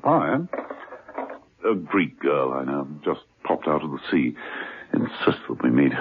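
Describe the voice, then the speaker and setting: An actor playing a suave British spy in a 1970s radio play, dry and flirtatious. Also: male voice, rumbling low, sing-song, slow pace, husky, heavily acted. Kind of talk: 1970s radio drama acting. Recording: tinny lo-fi old radio broadcast.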